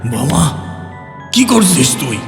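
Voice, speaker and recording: A man's voice: on the high side, big pitch swings, slow, vocal fry, echoey room, in a large hall, music in the background, voice pushed up, exaggerated voice. A man voicing an anguished village father crying out in alarm at his daughter in a horror story. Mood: horrified.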